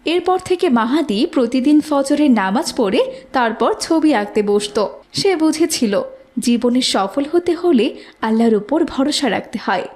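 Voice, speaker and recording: A woman's voice: high voice, lively intonation, measured pace, a little mellow. A woman working as narrator, reading a scripted moral tale.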